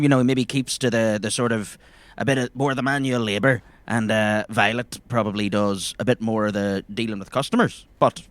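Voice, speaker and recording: A man's voice: medium pitch, fairly bright tone, no room sound. A man with an Irish accent narrating a tabletop game as game master in a descriptive, conversational way.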